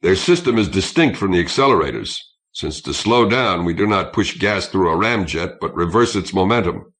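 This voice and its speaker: A man's voice: low voice, animated, moderate pace, fairly bright tone. A man reading an audiobook, voicing a proud starship captain who speaks stiffly and formally about technical matters. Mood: contemptuous. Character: proud, contemptuous Russian starship captain, desperate underneath. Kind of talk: audiobook character acting.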